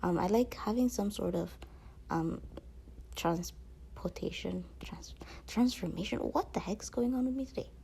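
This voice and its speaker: Woman, casual vlog chat, mellow tone, gravelly fry, rambling, slangy. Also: medium pitch, measured pace, slight background noise. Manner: rambling, peppered with slang and filler phrases. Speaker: vlogger.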